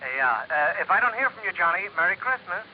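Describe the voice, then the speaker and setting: A man's voice: high voice, very animated, a little fast. A man playing a cajoling, chummy insurance office man on the telephone in an old radio drama. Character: wheedling male insurance office man on the phone. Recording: dull vintage radio, hiss, telephone line.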